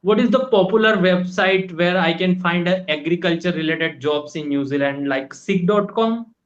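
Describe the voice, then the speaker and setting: High-voiced polite young Indian man. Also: normal speed, dull audio. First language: Hindi. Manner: polite, eager, addresses teacher as ma'am. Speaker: English learner. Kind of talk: online language lesson chat. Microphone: video call.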